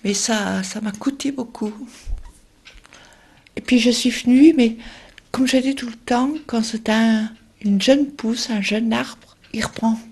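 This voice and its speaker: A woman's voice: on the high side, fairly even intonation, slow pace, darkish tone, breathy edge. A middle-aged woman from Belgium speaking reflectively and hesitantly in a documentary interview.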